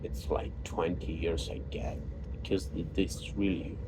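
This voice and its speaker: A man with an Italian accent, a native Italian speaker, talking in a halting personal monologue full of fillers and restarts. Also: sits low, level tone. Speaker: vlogger. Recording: noisy background.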